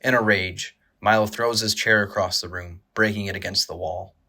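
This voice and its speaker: A man's voice: lowish voice, steady tone, moderate pace, pushed and bright. A man reading scripted dramatic narration for an audio drama.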